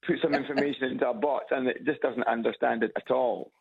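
Gravelly Edinburgh Scot on a phone line. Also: male voice, medium pitch, fairly even intonation, quick pace. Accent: Edinburgh Scottish. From Scotland. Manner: hesitant, repeats words, chuckles at own stories. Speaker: caller. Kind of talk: casual phone chat. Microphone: phone on loudspeaker.

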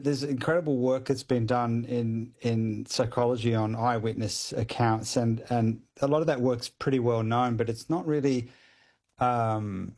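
A man's voice: medium pitch, a little mellow. A man speaking as a guest expert on a radio show, explaining studies at length with many hedges like kind of and you know.

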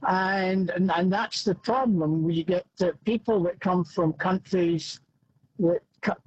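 A man's voice: high-pitched, takes it slowly, darkish tone, breathy edge, no room sound. Scottish man calling in on a dull phone line. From Scotland. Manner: blunt, opinionated. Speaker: caller. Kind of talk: talkback radio call.

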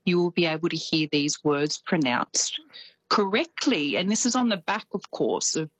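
Aussie woman w a bit of kiwi twang, chatty radio correspondent, low-ish breathy voice, dry sound. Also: expressive, moderate pace, dry recording, poor audio. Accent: Australian with some New Zealand. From Australia. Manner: chatty, enthusiastic, laughs easily. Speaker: New Zealand correspondent. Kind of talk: radio chat.

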